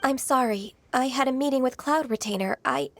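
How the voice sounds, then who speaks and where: A woman playing a gentle, reserved young half-human immortal in a video game, polite and earnest. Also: high voice, moderate pace, lightly acted. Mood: apologetic, hesitant. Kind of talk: video game character acting.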